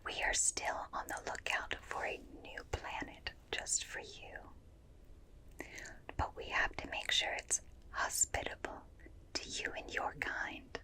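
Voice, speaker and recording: A woman voicing a gentle, curious alien examiner in a breathy whisper, slow and soothing, in an ASMR roleplay. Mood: gentle, reassuring. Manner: slow, soothing, softly clinical, repeats phrases. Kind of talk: ASMR roleplay, personal attention.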